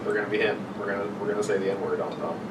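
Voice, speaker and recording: A man's voice: fairly low pitch, steady tone, rapid-fire. A young man joking crudely and sarcastically in casual chat with friends as a podcast co-host. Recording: echoey room, distant mic, loud noise.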